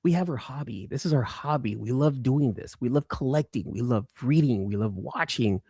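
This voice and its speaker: A man's voice: medium pitch, lively intonation, moderate pace, vocal fry, warm tone, slightly rough, no room sound, clean studio sound. A man hosting a casual livestream, chatting informally and enthusiastically with viewers and often repeating phrases for emphasis. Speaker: livestream host.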